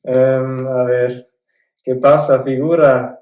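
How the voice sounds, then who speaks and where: Young native German-speaking man as interview guest, slow and even, a little mellow, in an echoey room. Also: mid-range voice, muffled recording. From South Tyrol, Italy. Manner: careful, measured learner's Spanish with restarts. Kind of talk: casual interview answers.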